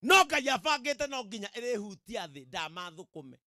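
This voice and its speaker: Male Kenyan pastor, middle-aged, Kikuyu speaker. High-pitched, emphatic sermon delivery into a close handheld mic, dry sound. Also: very animated, brisk, sharp tone, no room sound. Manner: emphatic, repetitive, checks in with the audience. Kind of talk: sermon to a live gathering. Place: outdoor tented event. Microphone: handheld microphone.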